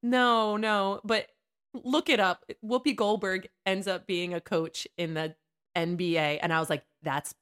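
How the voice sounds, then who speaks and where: A woman's voice: medium pitch, fairly even intonation, a little slow, fairly bright tone, clean tone. A woman co-hosting a casual chat with a friend, telling teasing anecdotes and acting out the quotes. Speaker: podcast co-host.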